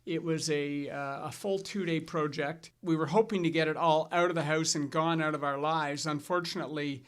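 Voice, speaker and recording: A middle-aged man with a somewhat raised voice hosts a financial chat. He tells his stories in a relaxed way and restarts his sentences as he goes. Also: on the high side, normal speed, smooth. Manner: relaxed storytelling with fillers and restarts. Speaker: financial advisor and show host. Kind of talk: casual scripted chat.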